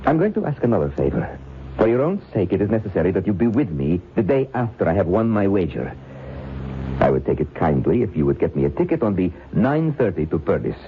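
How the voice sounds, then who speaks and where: An actor playing a suave, sinister, condescending occultist in an old-time radio drama, speaking with pompous, silky formality. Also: male voice, deep voice, very animated, fairly quick. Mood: quietly menacing. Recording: muffled, hissy old radio drama recording.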